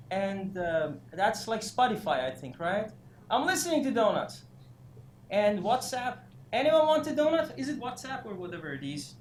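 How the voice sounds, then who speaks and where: A man raised in Iran giving a career workshop lecture, halting with many fillers and restarts, breaking into animated asides. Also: sits high. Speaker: career development instructor. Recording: echoey room, faint noise.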